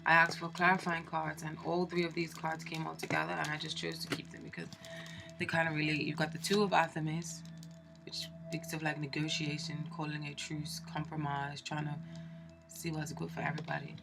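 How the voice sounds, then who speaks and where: A woman's voice: deep voice, little pitch movement, brisk, gravelly, music in the background. A woman with a cold, sounding stuffed up, giving a chatty tarot reading with plenty of fillers and restarts. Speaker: tarot reader. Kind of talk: casual tarot card reading.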